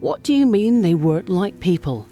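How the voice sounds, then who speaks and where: A woman's voice: medium pitch, very animated, normal speed, mellow, faint music behind. A woman playing an earnest news correspondent in a radio drama, giving a serious, articulate field report. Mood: urgent, serious.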